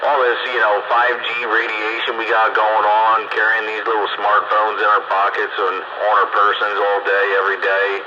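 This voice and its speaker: A man's voice: fairly low pitch, completely flat delivery, normal speed, hardly any pauses. Man, blunt and coarse, casual political talk; lo-fi radio-style sound with heavy noise. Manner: blunt, salty language. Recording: muffled, noisy radio-style audio.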